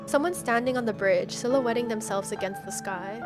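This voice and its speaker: Girl streamer w higher voice, reads game lines out loud n reacts all slangy, music playing behind. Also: moderate pace. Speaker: streamer playing a horror visual novel. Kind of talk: livestream let's play, reading game text aloud and reacting.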